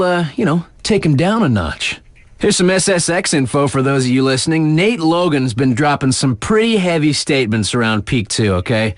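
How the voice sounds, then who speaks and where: A man's voice: a little high, dry recording, clean studio sound, lightly acted. A man playing a cool, cheeky young radio DJ in a video game, delivering conversational sports-circuit patter with laid-back hype and teasing asides. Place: dry studio booth.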